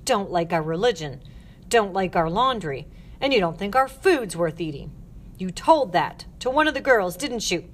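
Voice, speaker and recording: A woman's voice: mid-range voice, moderate pace, few pauses, close to an everyday voice. Woman acting a stern, spiteful matron barking orders. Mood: scornful, accusing. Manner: barking orders, scolding, accusing. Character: stern, spiteful, shrill older female head matron. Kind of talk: audiobook character voice.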